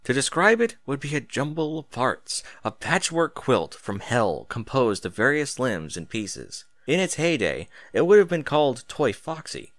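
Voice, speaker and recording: A man narrating a scripted dramatic story as its narrator, with theatrical, deliberate emphasis. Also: medium pitch, very animated, no echo.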